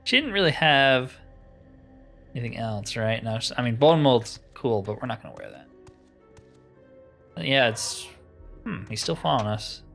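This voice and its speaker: A man's voice: medium pitch, sing-song, measured pace, gravelly, long pauses, fairly bright tone, faint music behind. A man giving casual, informal let's play commentary on a roleplaying video game, thinking aloud as he plays.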